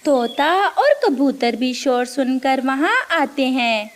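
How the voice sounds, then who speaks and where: A woman narrating a scripted children's cartoon story with expressive storytelling delivery. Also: sits high, slow.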